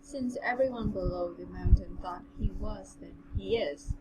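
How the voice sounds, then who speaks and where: A woman's voice: mid-range voice, moderate pace, noisy background. A woman co-hosting a novel read-along, reading aloud and commenting in an informal, playful way. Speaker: co-host and reader.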